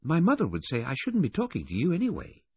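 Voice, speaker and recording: A man's voice: on the high side, wildly swooping pitch, fast, a little mellow, slightly rough, dry recording, lo-fi sound, voice pushed up, exaggerated voice. A man voicing a sulky, bored rich teenage girl who blurts things out, in an audiobook story. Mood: wistful. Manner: disgruntled, blurting.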